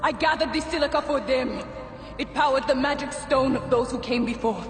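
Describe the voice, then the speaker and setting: A woman's voice: high-pitched, a little fast, lightly acted. An actress playing a fanatical, grieving mother turned murderous villain in a video game, theatrical and intense. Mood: bitter, defeated. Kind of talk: dramatic video game acting. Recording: cavernous echo with long reverb, loud music.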